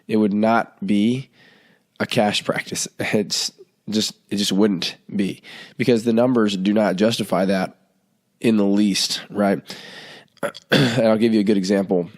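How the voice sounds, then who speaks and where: A man's voice: on the low side, fairly even intonation, unhurried, a little mellow. A man in his thirties hosting a podcast, talking casually with plenty of fillers, his voice stuffy from a head cold. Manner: chatty, loose, many fillers and restarts. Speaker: podcast host.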